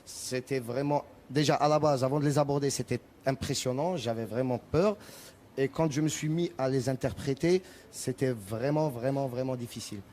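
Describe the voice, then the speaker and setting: A male singer with Arabic as his first language talks through his answers in a TV interview, often stumbling and repeating words as he searches for phrasing. His voice is dark and mellow. Faint noise sits behind him. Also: medium pitch, normal speed. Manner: hesitant, many repeated words and restarts. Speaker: singer, guest.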